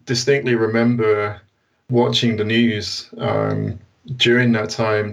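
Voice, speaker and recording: British man, low flat voice, distant mic. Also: creaky. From United Kingdom. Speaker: guest, science blogger. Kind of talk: conversational interview answers.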